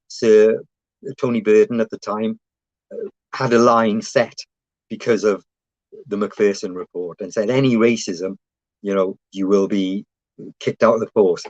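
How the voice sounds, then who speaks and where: A man's voice: on the low side, a little mellow, no echo, poor audio. A man speaking on a panel about his police recruitment job, hesitant with many fillers and restarts. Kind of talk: panel talk.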